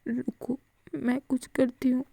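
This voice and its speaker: A woman's voice: sits high, little pitch movement, slow, vocal fry, dark tone, no room sound, heavily acted. A woman voicing a tearful young woman who blames herself in a whispered story. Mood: weepy. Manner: weepy, apologetic.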